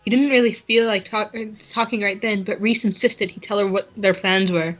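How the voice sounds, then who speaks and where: A woman's voice: mid-range voice, fairly even intonation, normal speed, rough recording. A woman reading a story aloud with friends, with small restarts and the odd laugh. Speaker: reader in a group fan fiction reading. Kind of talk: casual dramatic reading. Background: faint classical music.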